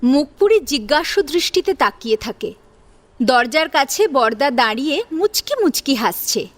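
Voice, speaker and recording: A woman's voice: high voice, very animated, normal speed. A woman narrating a scripted family drama as a storyteller, in a dramatic audio-story style.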